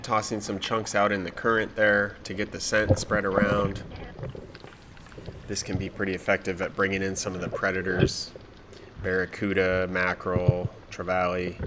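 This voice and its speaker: A man with a cold and a stuffed-up nose, narrating his spearfishing dive footage in a relaxed, matter-of-fact way. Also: sits low, heavy background noise. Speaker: spearfishing vlogger.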